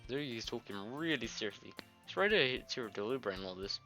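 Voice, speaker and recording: A man's voice: mid-range voice, expressive, a little fast, pushed and bright. A man playing a game on a recording, reading its dialogue aloud and adding crude, jokey, informal asides. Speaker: gamer doing a let's play. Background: game music.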